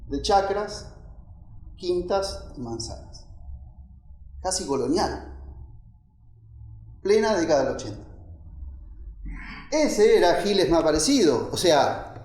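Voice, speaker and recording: In an echoey room, a man gives an informal talk. His fairly high voice moves in lively swings through fragmented, conversational phrases. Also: takes it slowly, long pauses. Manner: conversational, fragmented, checks in with listeners. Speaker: architect giving a talk. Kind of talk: informal lecture.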